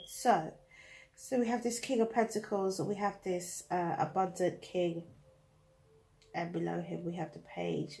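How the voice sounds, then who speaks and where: A woman's voice: medium pitch, warm tone, poor audio. A woman with a British accent, reading tarot cards to camera in a chatty, thinking-aloud way, her nose blocked up from a cold. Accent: British English. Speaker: tarot reader.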